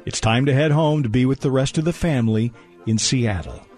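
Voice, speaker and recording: A man's voice: mid-range voice, a little fast, a little mellow, faint music behind. A man announcing a radio drama in a formal, old-time broadcaster style, reading a scripted introduction.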